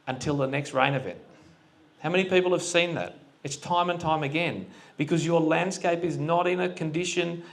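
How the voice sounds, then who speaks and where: Plain-spoken man addressing a crowd. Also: a little high. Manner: plain-spoken, asks the audience questions. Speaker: speaker at a farming forum. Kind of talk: casual public talk to an audience. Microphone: handheld microphone that cut out.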